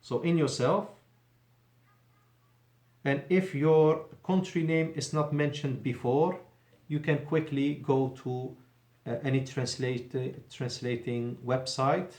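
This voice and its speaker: A man's voice: mid-range voice, fairly even intonation, takes it slowly, a little mellow. Man teaching Arabic in an echoey room. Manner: explains patiently, repeats phrases, mixes English with Arabic examples. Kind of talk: classroom Arabic lesson. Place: mosque classroom.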